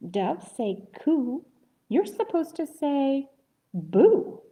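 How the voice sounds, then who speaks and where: A woman voicing a gentle storybook bird, speaking in a soft, sweet, correcting manner. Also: sits high, very animated, drawn-out words, pitched above the performer's own voice, exaggerated voice. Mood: gently correcting.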